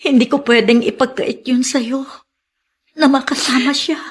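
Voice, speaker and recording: High-voiced, wronged Filipino mother. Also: fairly even intonation, moderate pace, breathy edge. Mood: gentle, earnest. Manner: earnest, emotional. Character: wronged, long-suffering mother, loving and emotional. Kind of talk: Filipino radio melodrama acting.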